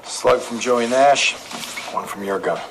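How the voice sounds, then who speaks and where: An actor playing a calm, relentless police detective in an audio drama, questioning in a terse, methodical way. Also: male voice, medium pitch, unhurried, lightly acted. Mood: firm, confronting. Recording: clear background noise and ambience.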